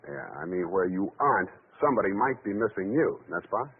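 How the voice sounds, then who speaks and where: An American actor playing a wry, hard-boiled male private detective in 1940s radio style, dry, wisecracking and unhurried. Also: fairly low pitch, animated, close to an everyday voice. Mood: dry, offhand. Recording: tinny, noisy 1940s radio recording.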